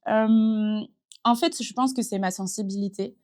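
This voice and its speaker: A thirty-year-old woman from western France talks through her path in an earnest, reflective way. Her voice sits at a middling pitch, and she speaks in a steady flow with many small fillers. She was recorded in a small improvised studio. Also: measured pace. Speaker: entrepreneur guest. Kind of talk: casual interview conversation. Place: improvised studio in a concert hall dressing room.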